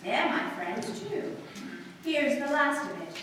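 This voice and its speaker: A woman acting a flustered, high-strung young society bride in a theatrical, halting 1940s radio-play style. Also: big pitch swings, a bit bright, exaggerated voice. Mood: brittle, defiant. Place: live staged radio-play performance in a hall. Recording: roomy, echoey distant live stage recording, faint noise.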